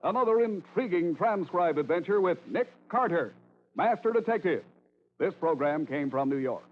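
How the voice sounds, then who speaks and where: A man's voice: high-pitched, animated, normal speed, phone-quality sound. A man reading scripted credits as an announcer in 1940s radio style. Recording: phone-thin old radio recording. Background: music.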